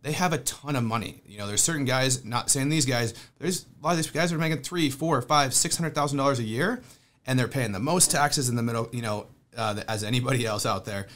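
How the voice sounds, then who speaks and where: A man's voice: medium pitch, a little fast, fairly bright tone. A man hosting a casual podcast, stuffed up with a cold, talking in an upbeat, rambling way with frequent restarts. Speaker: podcast host.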